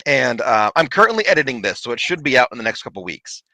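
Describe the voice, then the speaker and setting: A man telling a lively, laughing story from his paranormal investigations in casual chat. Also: medium pitch, fast talker, creaky, dry recording, poor audio. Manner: animated, laughing, big voice.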